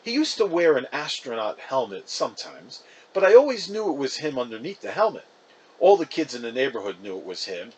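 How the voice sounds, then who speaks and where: A man giving an expressive first-person reading of a children's book, as an actor narrating. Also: on the high side, slightly rough, low-quality recording.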